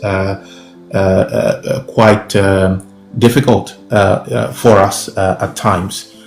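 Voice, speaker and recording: A young man with a Ghanaian accent answers interview questions in a low, deliberate voice. He pauses often, fills gaps with "uh" and "um", and restarts his phrases. The sound is roomy, with faint music playing underneath. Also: darkish tone. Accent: Ghanaian English. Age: young adult. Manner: measured, many fillers and restarts. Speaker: professor and guest.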